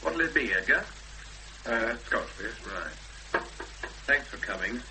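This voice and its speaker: A sceptical young man in a 1970s radio drama, with an extremely bright, crisp-edged voice and varied pitch. He is practical and matter-of-fact. There is faint hiss from the old broadcast. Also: expressive, slow pace, heavily acted, rough recording. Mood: sociable. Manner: matter-of-fact, clipped, conversational. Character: practical, sceptical young fiancé, brisk and protective.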